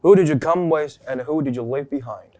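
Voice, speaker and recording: A man's voice: on the high side, a little fast, dark tone. A teenage boy interviewing a guest for a class project, reading questions and answering with short murmurs. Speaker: student interviewer. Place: school studio.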